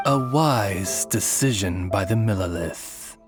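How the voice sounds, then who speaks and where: A man's voice: on the low side, lively intonation, slow, over music. A man voicing a composed, devoted raven familiar in a video game, speaking formally and politely like a butler. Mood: courteous.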